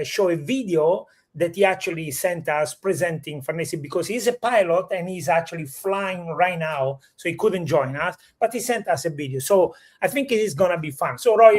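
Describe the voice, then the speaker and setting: A man with an Italian accent hosting a chatty live show in English as a second language, often pausing to search for words. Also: high voice, expressive. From Italy. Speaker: host of a real estate livestream.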